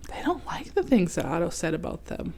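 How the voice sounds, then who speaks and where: Animated woman reading a children's storybook. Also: on the low side, fairly quick, gravelly, whispered. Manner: expressive, explaining to young children. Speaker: children's read-aloud host. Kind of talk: storybook narration with chatty asides.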